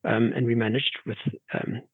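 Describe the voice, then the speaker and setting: A man's voice: medium pitch, flat delivery, slightly rough, dry recording. South African man on a tinny line. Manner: measured, halting, many restarts and ums. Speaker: guest horticulture expert. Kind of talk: casual podcast interview. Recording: tinny phone-like remote line.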